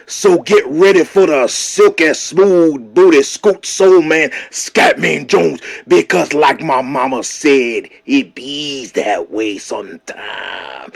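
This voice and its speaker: A man's voice: fairly high pitch, gravelly, full character voice. An actor playing a flamboyant soul-man pro wrestler, boasting in rhyming, jive-talking showman patter.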